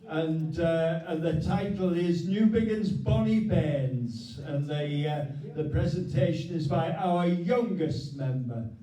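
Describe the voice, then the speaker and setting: A man thanks guests and makes announcements at a community meeting, stumbling and repeating himself as he goes. His voice is very dark and mellow. He sounds far from the mic in a roomy, echoing hall. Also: a little high. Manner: halting, many repeated words and fillers. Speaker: host of a local history group meeting.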